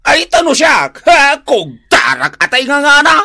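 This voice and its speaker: A man's voice: extremely high pitch, huge pitch swings, measured pace, sharp tone, dry recording, studio quality, full character voice. An actor playing a stubborn, defensive married village man in an Ilocano radio drama, protesting and blustery. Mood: indignant.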